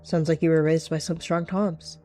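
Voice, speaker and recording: A roleplayer voicing a guarded, scheming rogue cat who feigns friendliness, in measured speech. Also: mid-range voice, little pitch movement, warm tone, lightly acted. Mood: polite, probing. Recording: music behind the voice.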